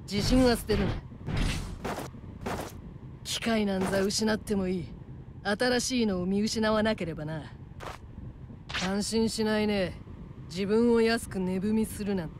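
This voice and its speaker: A gruff, mocking swordswoman from a fighting game, played by a woman. She talks bluntly and roughly like a seasoned drinker, in a medium pitch. A clear rumble of battle ambience sits behind her. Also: natural voice. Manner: rough, masculine, blunt phrasing.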